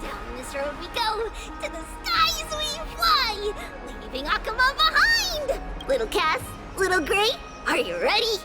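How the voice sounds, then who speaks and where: Super high cheery little kid in a game, singsong n eager, bouncy pitch, music behind. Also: female voice, wildly swooping pitch, measured pace, few pauses, exaggerated voice. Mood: bouncy, playful. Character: cheerful little girl who speaks of herself in third person. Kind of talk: voiced game dialogue.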